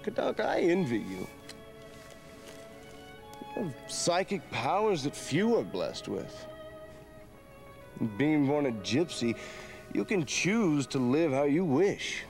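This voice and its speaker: An actor playing an earnest, reflective young adventurer, a romantic dreamer, in a dramatic video game cutscene. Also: male voice, fairly high pitch, big pitch swings, takes it slowly, vocal fry, pauses often, a little mellow, faint music behind, heavily acted. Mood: envious.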